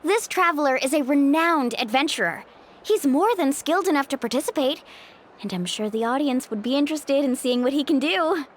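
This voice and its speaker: Casting: confident young female game athlete, high and animated, breezy, friendly, casual. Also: a little fast, a little hiss or hum. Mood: persuasive. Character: upbeat, confident, friendly young woman competitor. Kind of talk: English game voice acting.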